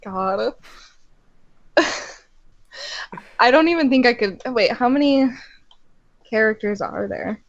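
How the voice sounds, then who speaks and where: A woman's voice: on the high side, expressive, slow pace, pauses often, no echo, lo-fi sound. A woman co-reading a story, giggly and a little hesitant, in a casual chat. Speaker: co-reader.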